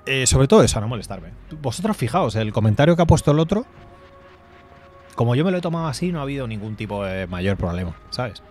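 A man's voice: medium pitch, big pitch swings, fast talker, darkish tone. A man in his thirties from Spain, chatting loosely and swearing casually while he plays a game on stream, heard through a headset mic at home. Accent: Spanish from Spain. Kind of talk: casual gameplay commentary. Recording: faint game music behind.

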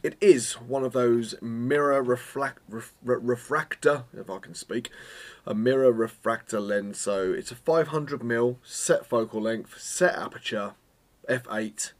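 A man, chatting casually to camera as a photography vlogger, self-mocking and full of jokey asides, a little hoarse from a cold. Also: mid-range voice, a little slow. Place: home studio room, indoors.

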